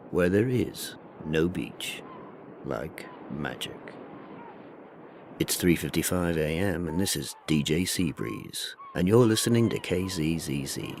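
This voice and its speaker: A low-voiced, dreamy late-night DJ acted by a man on a fictional ambient radio station. He speaks in soothing, unhurried, hypnotic patter. Noticeable ambient noise runs behind his voice.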